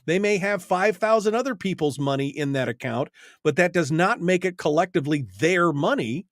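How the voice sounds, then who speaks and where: A blunt American radio host with a slightly high male voice, recorded close and dry. He talks in an opinionated, emphatic call-in style and likes to hammer a point by repeating it. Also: moderate pace, no room sound. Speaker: radio talk show host.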